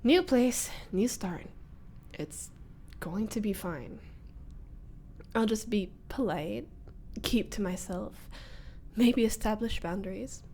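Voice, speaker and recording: Casting: actress as young female ex-bully roommate, unhurried, wry, easily flustered, roleplay audio with some background noise. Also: mid-range voice, warm tone. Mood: wry, musing. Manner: hesitant, sighing, nervous laughs, wry muttering. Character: young woman, flustered former school bully meeting new roommate. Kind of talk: scripted roleplay audio monologue, ASMR-like.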